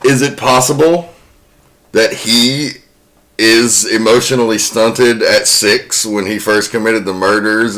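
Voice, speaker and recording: A man's voice: fairly low pitch, fairly bright tone. A man hosting a podcast, talking casually and emphatically in a film discussion. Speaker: podcast host.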